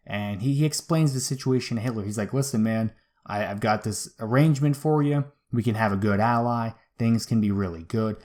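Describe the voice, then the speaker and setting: A man's voice: mid-range voice, darkish tone. Casual man telling history stories. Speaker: history show host. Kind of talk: casual conversational history storytelling.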